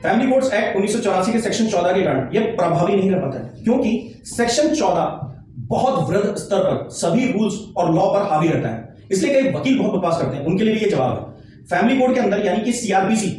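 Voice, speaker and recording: A man's voice: sits high, expressive, a little fast, a little mellow. A man giving an explanatory legal lesson, emphatic and repeating his key points. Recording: very echoey, distant mic, faint noise.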